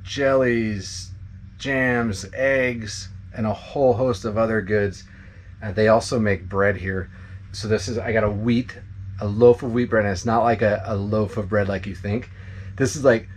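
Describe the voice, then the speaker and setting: A man's voice: on the low side, a little hiss or hum. Chatty man vlogging to a handheld camera. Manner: relaxed, chatty, self-correcting. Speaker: travel vlogger. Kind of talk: casual vlog talk to camera. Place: outdoors on a farm and inside a trailer. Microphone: handheld action camera at arm's length.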